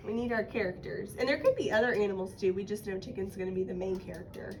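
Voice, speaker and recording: A woman's voice: mid-range voice, fast talker, darkish tone, a little hiss or hum. A woman teaching a casual one-on-one writing lesson, encouraging and guiding with questions. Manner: encouraging, explains by prompting with questions.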